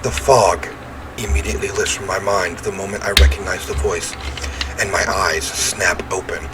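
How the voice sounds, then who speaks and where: A man's voice: low voice, fairly even intonation, moderate pace, loud music behind the voice. A man reading a visual novel aloud as he plays, with theatrical, emphatic narration and offhand reactions.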